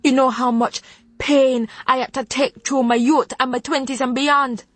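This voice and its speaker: A woman's voice: on the high side, moderate pace, no echo, pitched above the performer's own voice, natural voice. A woman voicing a stern, proud old Jamaican grandmother who scolds in thick patois. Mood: furious. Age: older. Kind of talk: audiobook narration.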